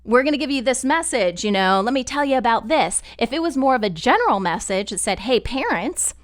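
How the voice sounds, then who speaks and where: A woman giving a conversational, step-by-step software tutorial in an explanatory style. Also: fairly high pitch. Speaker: podcast network instructor.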